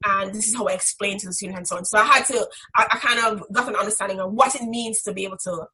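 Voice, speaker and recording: Bright-voiced, emphatic woman. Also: medium pitch, fairly even intonation, fast, low-quality recording. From Caribbean islands. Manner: strident, emphatic. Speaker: interviewee, graduate student instructor. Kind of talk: candid reflective interview talk. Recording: background noise with clicks.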